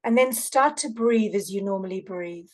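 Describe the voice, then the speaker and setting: A woman guest, an energy healer, talking in a gushing, repetitive way on a video call and then softly guiding a meditation. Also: mid-range voice, moderate pace. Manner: effusive, repetitive, soft-spoken when guiding. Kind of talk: casual chat then guided meditation.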